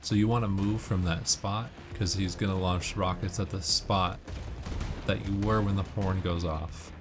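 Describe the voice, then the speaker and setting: A man's voice: deep voice, fairly even intonation, measured pace, noisy background. A man giving casual, instructional game commentary, walking through tips step by step. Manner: explanatory, instructional. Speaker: gamer giving walkthrough tips. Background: game music and gunfire.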